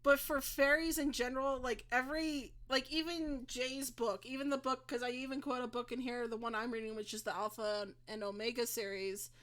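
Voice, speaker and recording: High-voiced woman, rambling and blunt. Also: little pitch movement, fairly quick, hardly any pauses, fairly bright tone. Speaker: co-host presenting the topic. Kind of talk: casual podcast chat.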